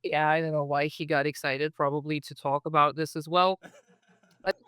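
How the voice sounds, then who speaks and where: A man talks as a podcast guest from home, telling childhood stories in a friendly, reflective way. His voice is smooth and clear and sits a touch high. The sound is close and dry. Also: steady tone, moderate pace, sharp tone, no room sound. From Kazakhstan. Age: 30s. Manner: friendly, reflective storyteller with frequent "uh" and "so". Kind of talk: casual interview chat.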